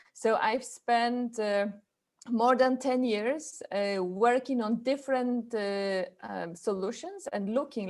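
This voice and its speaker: A woman from Poland with a Polish accent gives an informal online presentation, speaking in halting phrases with repeated words. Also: a little high, darkish tone. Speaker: webinar presenter, chapter president.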